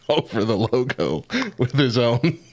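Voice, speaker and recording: A man's voice: mid-range voice, big pitch swings, slow. A man co-hosting a casual live-streamed show, joking and laughing often as he talks.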